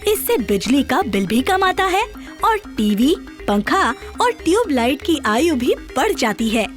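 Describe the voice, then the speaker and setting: A woman's voice: high-pitched, sing-song, measured pace, faint music behind. A woman narrating a scripted children's story as its storyteller.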